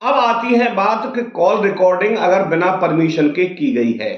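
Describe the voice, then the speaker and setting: A man's voice: high-pitched, moderate pace. A man giving an informal legal explainer to viewers in a didactic way, posing questions and answering them himself. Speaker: advocate explaining law to viewers. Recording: dull, roomy, echoing sound.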